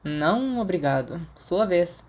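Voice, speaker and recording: Woman as a low-voiced, dry Brazilian teenage boy. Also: animated, measured pace, darkish tone. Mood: matter-of-fact. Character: studious, dry-witted, reserved teenage boy wizard.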